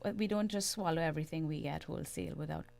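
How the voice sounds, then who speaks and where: A middle-aged woman with a Trinidadian accent, speaking in a measured, earnest way as a guest analyst in a radio interview. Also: low voice, creaky, a little mellow. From Trinidad and Tobago. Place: radio studio.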